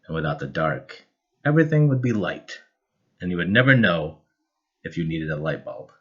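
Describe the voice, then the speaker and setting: A man reading a children's picture book aloud in an expressive, measured and dramatic storytelling style. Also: low-pitched, lo-fi sound. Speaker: storybook reader.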